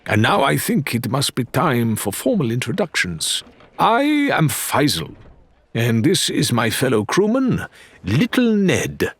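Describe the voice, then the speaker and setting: Brief: suave male pirate, husky, theatrical, mock-courteous, with swooping pitch. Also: wildly swooping pitch, unhurried, a bit bright, exaggerated voice. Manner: flamboyant, mock-polite, lilting.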